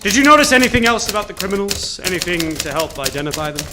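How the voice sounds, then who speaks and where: A noir-parody private eye with a strikingly high voice that swings widely in pitch. He narrates in theatrical, wisecracking gumshoe style, as in a live radio play, with clear background noise. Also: fast talker. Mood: brooding, wry. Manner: theatrical, deadpan quips, old-time gumshoe slang. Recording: noisy live theater stage recording.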